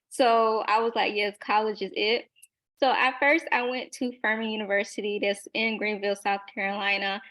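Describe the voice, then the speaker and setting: A young woman in her twenties speaking as a panelist in a webinar, earnest and emphatic, with fillers and short laughs, over a video call. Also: medium pitch. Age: young adult. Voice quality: loud voice.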